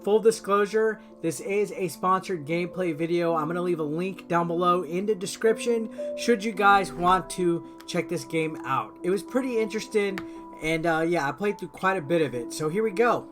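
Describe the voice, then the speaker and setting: Casual higher-voiced man doing game commentary. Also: moderate pace. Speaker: gaming video host. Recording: music behind the voice. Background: game music.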